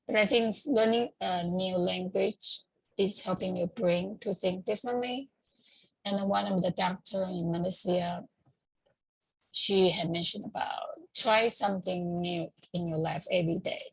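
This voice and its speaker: Middle-aged woman, English, gravelly voice, unhurried and hesitant, close dry sound. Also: mid-range voice, no echo, low-quality recording. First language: Chinese. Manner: hesitant, pausing to search for words. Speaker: community talk host. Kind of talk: informal educational talk.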